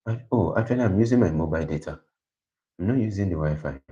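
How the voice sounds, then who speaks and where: A man with a deep voice hosting a livestream, thinking aloud in a casual, rambling way and correcting himself as he goes. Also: expressive, fast talker. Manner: rambling, self-correcting, plans out loud. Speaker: livestream host. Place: quiet indoor room. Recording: dull lo-fi livestream audio.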